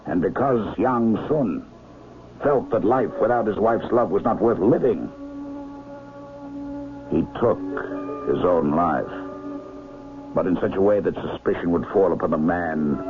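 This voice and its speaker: Knowing gentleman amateur detective, low and very animated, deliberate storyteller, old radio drama. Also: over music, exaggerated voice. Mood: knowing, storytelling. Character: perceptive older gentleman amateur sleuth, unhurried and knowing. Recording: lo-fi noisy vintage radio broadcast.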